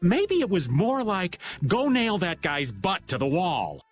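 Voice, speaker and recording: A man's voice: sits high, huge pitch swings, measured pace, heavily acted. An actor playing a laid-back, middle-aged male archer who drawls and cracks jokes. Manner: drawling, wisecracking. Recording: game music underneath.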